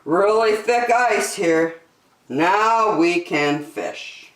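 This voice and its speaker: A woman's voice: mid-range voice, lively intonation, extremely slow, voice pushed up. Woman as an emphatic, protective storybook dad, roomy sound. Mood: earnest. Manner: emphatic, instructing. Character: girl's father, earnest, cautioning, protective. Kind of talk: children's storybook read aloud.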